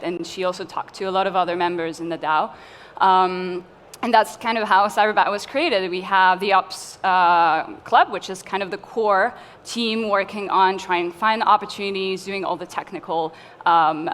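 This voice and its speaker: A woman's voice: mid-range voice, fairly bright tone. A woman from Tunisia speaking as a curator on a conference panel, friendly and a little hesitant. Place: conference hall. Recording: long hall reverb, faint ambience.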